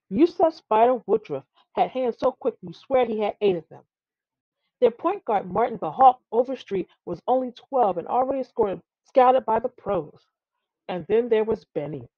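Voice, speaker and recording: Female storybook reader, fairly high voice, expressive if a little stumbling, on a dry, muffled recording. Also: dry recording. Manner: expressive, with frequent stumbles over words. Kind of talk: read-aloud children's tall tale narration.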